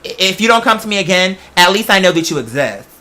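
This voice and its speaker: A podcast host with a bright, forceful voice at a middling pitch, rambling casually to an audience. The delivery is slangy and emphatic, and it often wanders off on tangents. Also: sing-song, fast talker, slight background noise.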